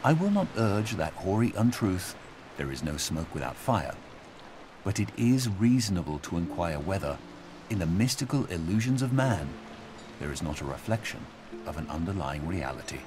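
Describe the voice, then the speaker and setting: A man acting as a thoughtful reader of philosophy in a game audio log, deliberate and carefully articulated, in a measured literary style. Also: deep voice, big pitch swings, background noise. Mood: contemplative. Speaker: audio log narrator reading a philosophical passage. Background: outdoor game ambience.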